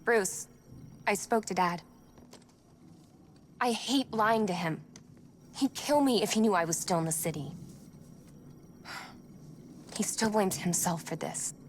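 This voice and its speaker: An actress plays a young woman who is the brainy ally of a game hero. She has a medium-pitched, earnest, conversational voice. There is faint background noise. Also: slow, pauses often, natural voice. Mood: troubled. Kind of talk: video game drama.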